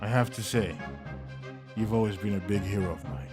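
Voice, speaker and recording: A man voicing a slickly dressed, coolly polite and menacing henchman, speaking slow, measured and smug. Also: fairly low pitch, over music, close to an everyday voice. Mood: smooth.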